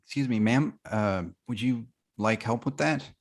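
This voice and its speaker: A man playing an eager, apologetic office worker, stammering and excitable, in a script read. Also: medium pitch, a little slow. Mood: polite, hurried. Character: jittery, eager young male office worker, energized and apologetic. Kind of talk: screenplay table read.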